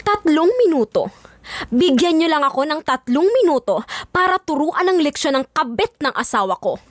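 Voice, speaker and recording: A woman's voice: high voice, animated, moderate pace, dry recording, studio quality. A woman playing a furious, vengeful wife with a Filipino accent, threatening her rival in an audio drama. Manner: confrontational, threatening.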